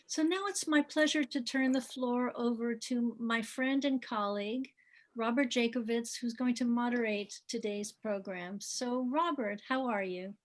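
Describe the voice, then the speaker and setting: Woman host doing an online event welcome, voice a bit on the high side. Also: measured pace. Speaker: event host introducing the program.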